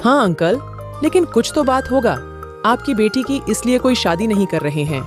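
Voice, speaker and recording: A woman's voice: on the high side, lively intonation, normal speed, loud music behind the voice, close to an everyday voice. A woman voicing a naive, helpful young male donkey who talks earnestly in a children's storybook tale. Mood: agreeable. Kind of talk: children's moral storybook character voice.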